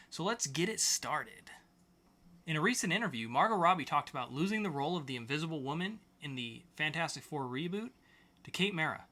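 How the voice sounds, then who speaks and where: A man's voice: on the high side, bright, forceful tone. A man hosting a podcast, reading scripted pop-culture news in an announcer-like way with sarcastic quips. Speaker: podcast host.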